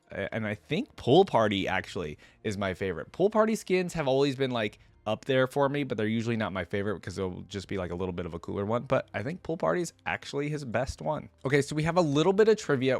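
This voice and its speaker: A man's voice: medium pitch, dry recording. A man giving casual, informal reaction commentary as a video host, thinking aloud as he goes.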